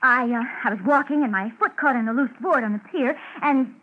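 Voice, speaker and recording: An actress playing an evasive, flirtatious, moody woman in trouble in a 1950s radio drama, with a husky, breathy voice. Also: high voice, a little fast, a little hiss or hum, close to an everyday voice. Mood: evasive, melancholy. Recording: tinny, narrow old radio recording.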